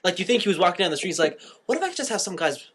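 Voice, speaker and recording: A man's voice: high-pitched, sing-song, racing, a bit bright, breathy edge. A man co-hosting a podcast in casual, inquisitive conversation. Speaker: podcast co-host. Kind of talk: casual chat.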